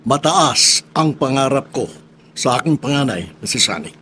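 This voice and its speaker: A man's voice: medium pitch, lively intonation, bright, forceful tone, a little hiss or hum. A man with a Filipino accent playing a strict, proud retired army colonel in a radio drama, speaking emphatically. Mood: proud, authoritative. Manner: emphatic, deliberate, repeats words.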